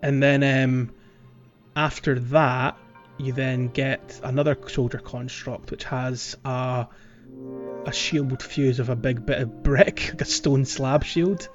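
A man's voice: mid-range voice, fairly even intonation, slow pace. Male podcast host, slightly muffled, over music. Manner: explanatory, step-by-step. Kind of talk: casual game walkthrough chat.

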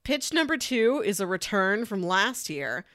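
A woman co-hosting a podcast, pitching movies in a casual, enthusiastic and emphatic way with chatty asides. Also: big pitch swings, a little slow, a bit bright, no echo, studio quality. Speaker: podcast co-host.

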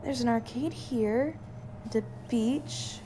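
A woman playing a caring, sensible scientist, wife and mother in an audio drama, with a gentle, earnest and expressive delivery. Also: fairly high pitch, slow pace, slight background noise. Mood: wondering.

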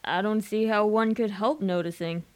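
A woman playing a breezy, chummy, slightly bewildered young gentleman in a comic radio drama. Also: mid-range voice, steady tone, moderate pace, natural voice. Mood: bemused, frank. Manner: chatty, frank.

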